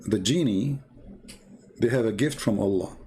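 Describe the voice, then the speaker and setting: A man's voice: on the low side, moderate pace, a little mellow, slight background noise. A man who speaks English as a second language, giving an informal explanatory talk in a didactic, emphatic way, repeating his phrases. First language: not English. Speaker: religious commentator, show host. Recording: shortwave radio talk.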